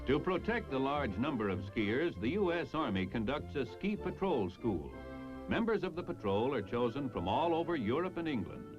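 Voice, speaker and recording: Animated American newsreel man, vintage muffled sound. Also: medium pitch, normal speed, music in the background. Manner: jaunty, polished announcer delivery with playful wording. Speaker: newsreel narrator. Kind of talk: 1950s-60s military newsreel narration, scripted.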